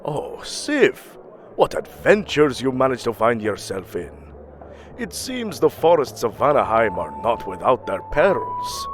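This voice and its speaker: A man's voice: mid-range voice, sing-song, measured pace. An actor playing a proud, aging king of the Norse gods in a fantasy audio drama, grandiose and commanding. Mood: warm, courtly. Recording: music under the voice.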